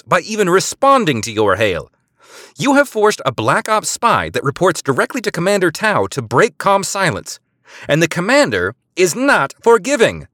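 A man's voice: fairly high pitch, fairly bright tone, no room sound, clean studio sound, pitched above the performer's own voice. A man voicing a woman spy who bluffs in an imperious, order-barking tone. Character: commanding woman spy bluffing over comms.

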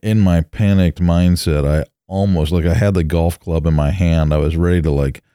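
A man's voice: low-pitched, steady tone, moderate pace, warm tone, clear, resonant tone, no room sound, clean studio sound. A man hosting a casual podcast, his voice thickened by allergies, telling a story in an easygoing way. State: allergies, voice deeper than usual. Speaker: podcast host.

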